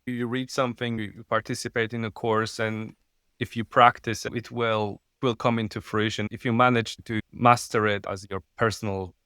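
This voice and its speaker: A man with a Polish accent, a non-native English speaker, talking as a podcast guest, halting and searching for words. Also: lowish voice, flat delivery, bright, forceful tone, no room sound, studio quality. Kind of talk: podcast interview.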